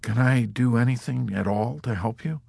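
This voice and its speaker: Shy, apologetic army chaplain in his thirties male narrator acting: hushed, hesitant, polite, storybook delivery. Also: medium pitch, normal speed, gravelly, slightly rough, no echo, clean studio sound, pitched above the performer's own voice. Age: 30s. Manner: mumbling, soft, hesitant.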